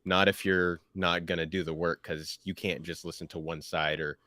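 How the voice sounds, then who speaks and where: A man's voice: deep voice, little pitch movement, normal speed, pushed and bright, no echo. A man co-hosting a talk show, chatting casually and loosely about his habits and views. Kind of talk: casual chat.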